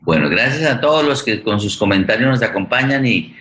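A man's voice: medium pitch, expressive, fairly bright tone. A man hosting a live online forum conversationally, often repeating words as he gathers his thought. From Colombia. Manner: halting, repeats words and phrases. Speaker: forum host. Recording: echoey room over online stream.

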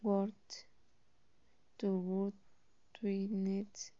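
A teenage girl with a Costa Rican Spanish accent speaks very slowly and haltingly, stopping to correct herself. Her voice is dark and mellow, with a flat, even pitch, recorded dry and a little dull. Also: dry recording. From Costa Rica. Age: teens. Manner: halting, with pauses and self-corrections. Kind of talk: reading a personal introduction.

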